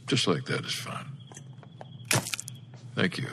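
An actor playing a commanding official in a period radio drama, terse and politely insistent. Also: male voice, slow, background noise, lightly acted. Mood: measured, insistent.

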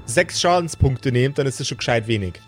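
A man's voice: medium pitch, animated, a little fast. A man improvising vivid narration as game master of a fantasy tabletop game, slipping into colloquial table talk. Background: background music.

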